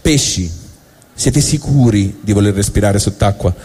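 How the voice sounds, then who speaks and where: Man playing a measured, patronising comic God. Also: lowish voice, mellow, breathy edge, in a large hall, voice pushed down. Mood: solemn. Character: exasperated, deliberate male God of a comic creation tale.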